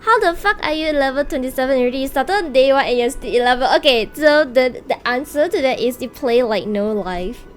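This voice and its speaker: High-voiced woman chatting playfully on stream. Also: expressive, fairly quick, few pauses, slight background noise. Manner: playful, informal gamer slang with swearing. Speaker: live game streamer. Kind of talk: casual livestream chat with viewers. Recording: music behind the voice. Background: video game soundtrack.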